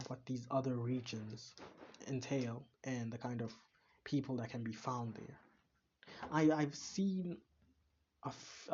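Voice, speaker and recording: A man's voice: medium pitch, darkish tone, highs cut off, a little hiss or hum. A young man, casually chatting and rambling with many restarts as a reaction video host. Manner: rambling, halting, full of fillers and restarts. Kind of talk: casual chat.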